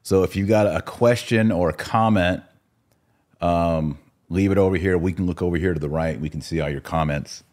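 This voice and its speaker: A man's voice: sits low, normal speed, a little mellow. A man hosting a podcast in a studio, chatting casually and reading out live comments in an informal, jokey, slangy way. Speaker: podcast host.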